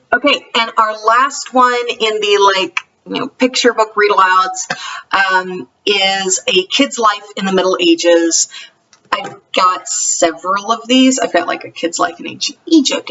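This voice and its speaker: A woman speaks in a very bright voice, recorded from a distance with a slightly muffled sound and faint noise behind her. She rambles informally like a home vlogger doing a show-and-tell, backtracking and correcting herself in an easy conversational flow. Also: mid-range voice. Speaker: homeschooling parent vlogger. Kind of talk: casual vlog chat, informal show-and-tell of books.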